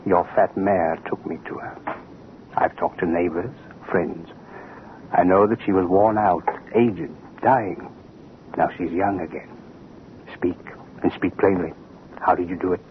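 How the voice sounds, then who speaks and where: An actor playing an arrogant, bullying government official, curt, commanding and pompous, in old-time radio drama style. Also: male voice, deep voice, steady tone, a little slow, long pauses. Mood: demanding, haughty. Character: arrogant, bullying propaganda official, a man of authority. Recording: tinny, noisy vintage radio recording.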